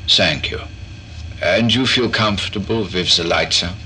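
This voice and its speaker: Male actor as eccentric doctor, low-pitched, patient, formal questioning, horror audio drama, faint background noise. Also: a little slow, sharp tone. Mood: measured, clinical.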